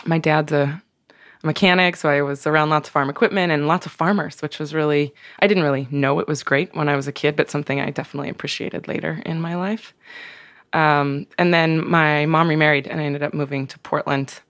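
A woman's voice: low-pitched, steady tone, fairly quick, fairly bright tone, no room sound. A young woman from Oregon chatting as a podcast guest, laughing easily and full of ums and restarts. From Oregon, USA. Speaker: podcast guest, wine brand manager. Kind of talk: casual interview chat.

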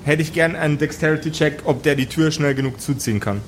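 A man improvising narration as game master of a tabletop role-playing game, halting now and then to search for words. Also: fairly high pitch, a little hiss or hum.

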